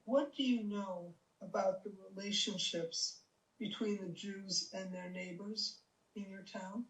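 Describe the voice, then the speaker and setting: A woman interviewer asking polite, measured, scripted questions over a video call. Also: medium pitch, fairly even intonation, echoey room, far from the mic, poor audio.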